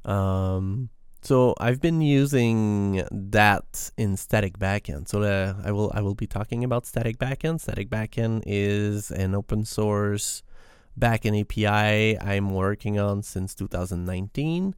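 A man from Quebec with a French Canadian accent, a native French speaker, talking alone about programming in a halting way with lots of restarts. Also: lowish voice, clean tone, no room sound, studio quality. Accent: Québécois French. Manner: halting, many restarts and fillers. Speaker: podcast host. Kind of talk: solo tech talk.

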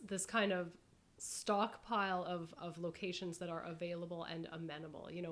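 Lowish-voiced woman moderating. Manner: long exploratory questions with restarts. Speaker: host, moderator. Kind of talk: conversational interviewing.

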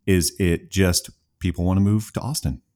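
A man with a low voice hosting a podcast in a relaxed, conversational way. He rambles through long asides and points out his own rambling. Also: moderate pace. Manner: rambling, talkative, self-aware. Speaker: podcast host. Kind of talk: casual interview conversation.

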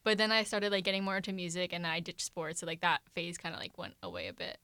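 Bright, easygoing teen girl. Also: fairly low pitch, fast talker, no room sound. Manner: easygoing, laughing, wry asides. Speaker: podcast co-host. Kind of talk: casual chat.

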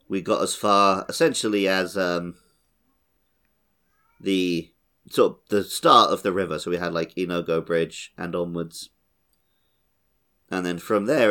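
A man's voice: sits low, fairly bright tone. A man hosting a podcast, chatting casually about a video game. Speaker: podcast host.

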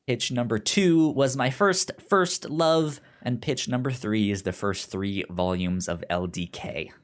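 A male podcast co-host with a mid-range voice and a marked stutter. He talks slowly and haltingly, repeating sounds and syllables, in an informal, chatty style. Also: mid-range voice. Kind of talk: casual chat, pitching shows to a co-host.

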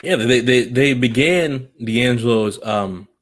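A man hosting a sports discussion, speaking in a measured, wordy way with rather formal phrasing. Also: medium pitch. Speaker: sports podcast host.

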